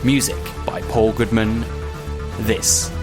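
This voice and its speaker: A man announces scripted podcast credits. His delivery is slow and level in pitch. Music sits prominently behind his voice. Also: steady tone.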